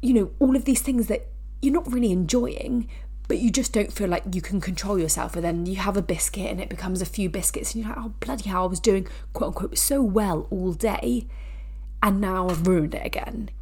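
Woman, nasal, stuffed-up sinuses, chatty podcast host, mid-pitched. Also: a little fast, darkish tone, slightly rough. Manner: chatty, frequent restarts and asides. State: blocked sinuses, nasal from a cold. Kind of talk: casual educational podcast talk.

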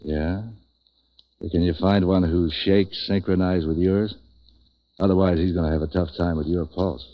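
An actor playing a street-wise, wisecracking hired tough who narrates a 1940s radio detective drama in a clipped, deadpan way. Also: male voice, sits low, normal speed, background noise, natural voice. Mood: sardonic. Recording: dull vintage 1940s radio recording.

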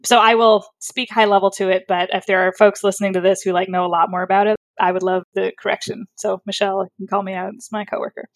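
A woman's voice: mid-range voice, little pitch movement, fast, hardly any pauses, no echo. A woman speech therapist explaining her work in a thoughtful, articulate way, with fillers and self-corrections. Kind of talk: conversational explanation.

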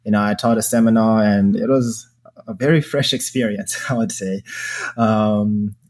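A man's voice: lowish voice, fairly even intonation, moderate pace, darkish tone. A man from Zimbabwe hosting a podcast, sharing his faith in casual, earnest talk. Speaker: podcast host.

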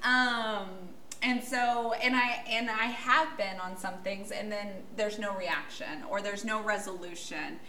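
A woman from the United States, a podcast guest, talking bluntly and loudly, laughing as she goes. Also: medium pitch, unhurried, hardly any pauses, slight background noise. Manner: loud, outspoken, blunt, laughing. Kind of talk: casual podcast chat. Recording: echoey room.

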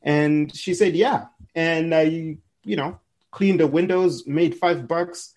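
A man's voice: fairly high pitch, fairly even intonation, slow, darkish tone. A man, a podcast guest telling his story in an upbeat, chuckling way, often restarting words. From Zimbabwe. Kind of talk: casual storytelling interview.

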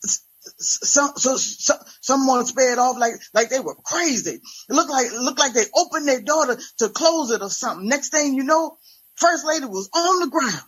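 A woman's voice: high-pitched, lively intonation, normal speed, a little hiss or hum, pitched above the performer's own voice, low-quality recording. A woman voicing a frantic male parking worker who stutters and repeats his words. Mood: agitated. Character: frantic, stammering male parking ministry worker, anxious to help.

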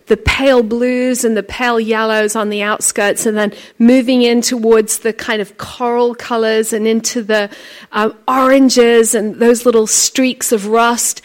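A woman with a loud voice giving a chatty, storytelling talk as a speaker at a faith conference. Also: fairly high pitch. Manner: chatty, animated storytelling with acted quotes. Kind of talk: conference sermon.

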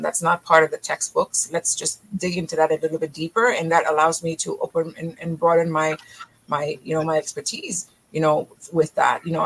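A middle-aged woman from Haiti, a physician talking as a podcast guest in energetic, emphatic bursts that often restart mid-phrase. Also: low voice, low-quality recording. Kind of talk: podcast interview.

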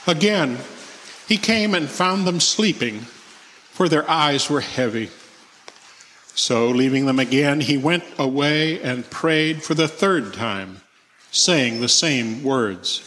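A man's voice: on the high side, animated, slow, fairly bright tone, a little hiss or hum. A man giving a scripture reading as narrator in a church. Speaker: scripture reader, church member. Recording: echoey church hall, long reverb.

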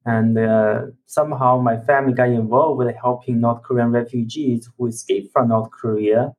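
Earnest halting man from China. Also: medium pitch, a little mellow, muffled recording. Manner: earnest, halting, searching for words. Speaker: ministry executive guest. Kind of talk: personal testimony in interview.

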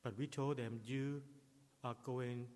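A middle-aged man whose first language is Thai preaches in English, choosing his words slowly and with care. His voice sits at an ordinary pitch for a man. The sound carries the long reverb of a church hall. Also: flat delivery, darkish tone. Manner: slow, measured delivery with non-native English phrasing. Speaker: pastor. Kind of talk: sermon.

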